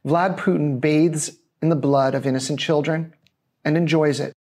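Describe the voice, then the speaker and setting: A man's voice: fairly high pitch, fairly even intonation, moderate pace, a little mellow. Grave, theatrical male-voiced spokesperson. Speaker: military spokesperson in a played video. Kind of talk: dramatic scripted statement.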